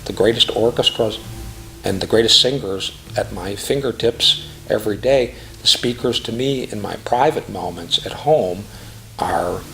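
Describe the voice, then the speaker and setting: A middle-aged man speaking earnestly and emphatically in a reflective interview testimonial, phrasing deliberately. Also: on the low side, gravelly, bright, forceful tone, a little hiss or hum, low-quality recording. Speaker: classical music professional giving a testimonial.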